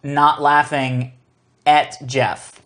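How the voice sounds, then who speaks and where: A man's voice: on the high side, little pitch movement, very slow, fairly bright tone. A man co-hosting a show in casual, chatty commentary, easygoing and agreeable with the others. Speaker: show co-host.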